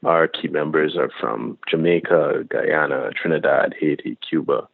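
A man from Barbados, a filmmaker, giving thoughtful, measured interview answers over a phone line. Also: rumbling low, vocal fry, no echo. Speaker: filmmaker guest.

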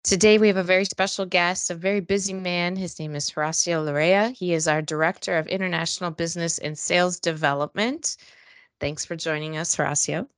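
A woman's voice: lowish voice, steady tone, brisk, smooth, no echo. A woman hosting a company podcast, introducing a guest and asking friendly conversational questions. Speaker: podcast host.

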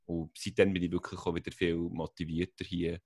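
A man's voice: sits low, little pitch movement, brisk, a little mellow, husky, no room sound, studio quality. A young man with a Swiss German accent, talking hesitantly with many fillers on a video call. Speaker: student abroad. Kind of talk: casual group call.

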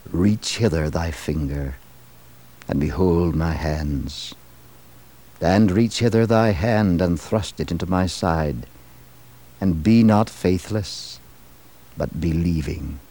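A man voicing a solemn, gentle, commanding Jesus in slow, measured scriptural diction for a 1960s religious radio drama. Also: sits low, voice pushed down. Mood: grave, gentle. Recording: vintage radio production with music.

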